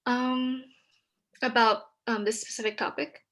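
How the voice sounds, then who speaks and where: A young woman from Texas whose first language is Vietnamese, speaking hesitantly with many pauses as a guest in a casual conversation. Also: fairly high pitch, little pitch movement, slight background noise. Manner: hesitant, many ums, soft-spoken.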